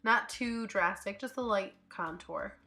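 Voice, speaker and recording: Chatty beauty vlogger lady, stuffed up from a cold, really bright voice, faint music under it. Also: mid-range voice, a little slow. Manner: chatty, upbeat, thinks out loud with fillers. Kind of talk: casual makeup tutorial voice-over. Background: faint background music.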